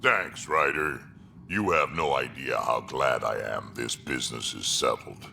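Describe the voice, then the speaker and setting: An actor playing a gruff, aggressive alien clan warrior in a video game, blunt and menacing. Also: male voice, unhurried, sharp tone, slight background noise, full character voice. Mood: blunt, firm. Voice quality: deep, gravelly.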